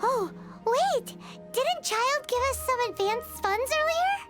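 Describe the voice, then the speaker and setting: A woman voicing a tiny, cute and bossy fairy companion with a squeaky childlike voice in a video game. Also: expressive, slow pace, over music, heavily acted. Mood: scolding. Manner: refers to self in third person, outspoken.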